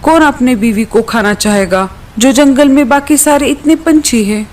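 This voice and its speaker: A swaggering male predator bird from a cartoon done by a woman. It talks in rustic turns of phrase with cocky threats, in a fairly high voice. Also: normal speed, a little mellow, slight background noise, close to an everyday voice. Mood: cocky, challenging.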